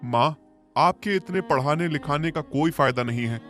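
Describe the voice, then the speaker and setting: A man voicing an earnest, worried educated young village son in an animated moral story. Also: a little high, moderate pace, faint music behind, close to an everyday voice. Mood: downcast.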